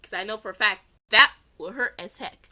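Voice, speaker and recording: A woman's voice: medium pitch, moderate pace, pushed and bright. A woman reading a story aloud as an amateur, giggly, often stumbling over words and correcting herself. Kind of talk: read-along narration with character voices.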